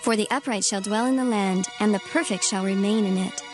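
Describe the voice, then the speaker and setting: An actress playing a solemn narrator of wisdom sayings, reading scripture in a measured, reverent way with music behind her. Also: mid-range voice, natural voice. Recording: loud music under the voice.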